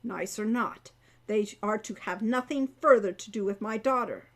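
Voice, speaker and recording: A woman's voice: mid-range voice, normal speed, close to an everyday voice. A woman voicing a stern, angry centaur father in a storybook, with formal, scolding speech. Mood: stern, dismissive.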